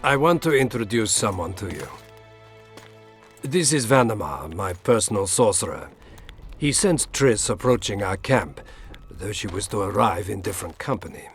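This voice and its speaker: Low, gravelly scheming diplomat. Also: male voice, lively intonation, normal speed, faint music behind. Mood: smugly menacing. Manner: formal, politely menacing. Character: courteous, scheming Imperial ambassador, middle-aged man. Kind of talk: scripted fantasy game dialogue.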